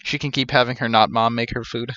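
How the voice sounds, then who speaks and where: A man in his thirties commentates casually on a game he is streaming. His voice is bright and forward, wry and joking, recorded close and dry with a slightly dull sound. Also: mid-range voice, fairly even intonation, fast, no room sound.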